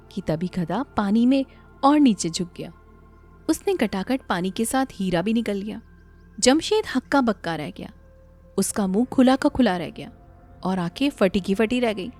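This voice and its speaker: A woman reads a scripted moral tale as a dramatic storyteller. Her pitch is medium but swings widely and expressively, with faint music playing behind her. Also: darkish tone.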